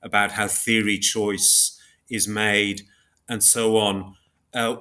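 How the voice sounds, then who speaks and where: A man speaking as an academic interview guest, explaining in a measured, scholarly way and pausing to search for words. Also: fairly low pitch, level tone, bright, forceful tone.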